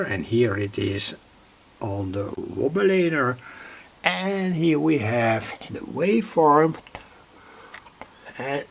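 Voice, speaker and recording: Slow gravelly man at his workbench. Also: medium pitch, a little hiss or hum. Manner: rambling, self-correcting, thinking aloud. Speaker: radio hobbyist demonstrating equipment. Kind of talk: casual workbench demonstration.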